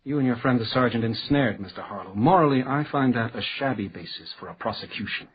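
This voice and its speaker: A man's voice: mid-range voice, expressive, fast talker, breathy edge, lo-fi sound. A man playing an exacting, condescending magistrate in a radio crime drama, curt and formal in his speech. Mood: stern, businesslike. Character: imperious, exacting male magistrate, sarcastic and impatient. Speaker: actor in a radio detective drama.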